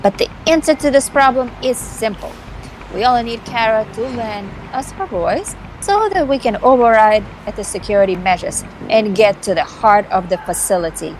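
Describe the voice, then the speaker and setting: A woman's voice: on the high side, moderate pace, a little hiss or hum, lightly acted, poor audio. Woman playing a cocky, scheming vampire hacker. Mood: scheming, persuasive. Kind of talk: amateur voice acting of a visual novel. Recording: music behind the voice.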